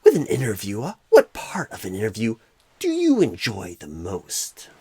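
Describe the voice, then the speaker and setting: A man voicing a clueless, rambling job recruiter in an ASMR roleplay, with a breathy, husky voice and halting phrasing full of odd pauses and stresses. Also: fairly low pitch, huge pitch swings, dark tone, slight background noise. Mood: probing, rambling.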